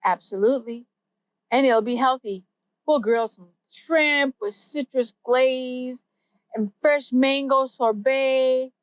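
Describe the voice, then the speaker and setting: A quick, jokey AI sidekick with a bright, high voice, firing off punchlines in a playful rush, as a woman puts it on. The sound is dry and close. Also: dry recording. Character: fast, snappy wisecracking comic AI sidekick.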